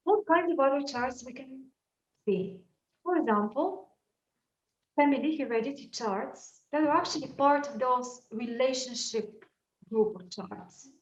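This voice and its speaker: A fairly high-voiced woman with a Bulgarian accent gives an astrology lesson, thinking aloud and stopping on "uh" and "um" as she builds her points. She sounds set back from the microphone in a room with a clear echo, and the sound is slightly dull. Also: a little slow. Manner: thoughtful, searching for words with frequent fillers. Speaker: astrology teacher. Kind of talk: lecture on astrology. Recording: echoey room, mic at a distance, dull sound.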